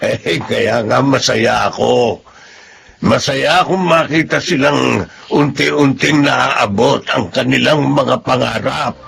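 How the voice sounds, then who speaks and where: A gravelly, kindly old Filipino grandpa. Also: male voice, mid-range voice, steady tone, slow. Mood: happy, proud. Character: kindly, devout elderly Filipino grandfather, grateful and humble. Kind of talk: Filipino radio drama acting and first-person narration.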